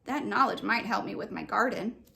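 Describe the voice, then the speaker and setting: A woman's voice: medium pitch, normal speed, vocal fry, bright, forceful tone. A woman voicing a kind, patient mother in a children's book read-aloud, comforting and encouraging. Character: kind, encouraging mother of two young girls.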